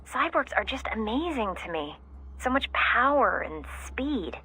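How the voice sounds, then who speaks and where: Husky, lively, curious female colleague. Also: fairly high pitch, measured pace, full character voice, poor audio. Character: curious, playful female colleague of a cyborg soldier. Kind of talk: video game voice acting.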